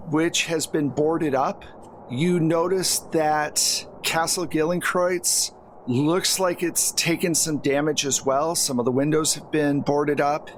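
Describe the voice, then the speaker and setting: Slow-paced man narrating a tabletop game. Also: fairly high pitch, a bit bright, a little hiss or hum, low-quality recording. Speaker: game master.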